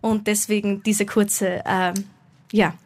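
A woman's voice: mid-range voice, little pitch movement, slow, a little mellow. A woman singer-songwriter informally introducing her song from the stage in a chatty, rambling way.

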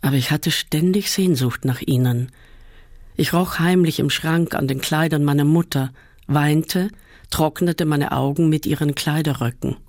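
A man reading a first-person literary essay aloud in a measured, calm way, often slowing down. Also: on the high side, dry recording, clean studio sound. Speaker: reader.

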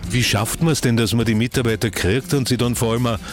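A man's voice: medium pitch, steady tone, fast talker, soft background music. A man with an Austrian accent hosting a regional radio show, chatting and asking questions on location. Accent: Austrian German. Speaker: radio presenter. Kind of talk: live radio interview and hosting.

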